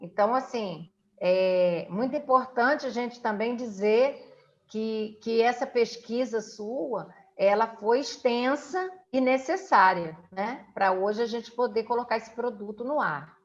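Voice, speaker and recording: A Brazilian woman recounting things as an interviewer in a conversational, deliberate way, with frequent checking tags. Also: on the high side, dull audio.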